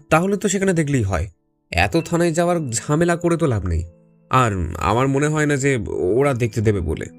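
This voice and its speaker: An actor playing a detective's doubtful young sidekick, telling an audio story expressively. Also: male voice, medium pitch. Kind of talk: dramatic audio story narration and dialogue. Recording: reverberant voice over music bed.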